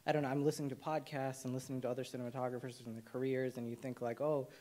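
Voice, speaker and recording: A man's voice: medium pitch, flat delivery, racing, a little mellow. Hesitant young man from Nepal. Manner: hesitant, many fillers and restarts. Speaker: documentary cinematographer, panelist. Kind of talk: panel discussion.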